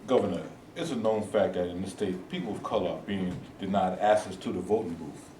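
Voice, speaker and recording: An actor in a staged reading playing a formal, deliberate activist spokesman. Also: low-pitched, dark tone, distant mic, lightly acted. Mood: firm. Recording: echoey room, voice from afar, faint hiss.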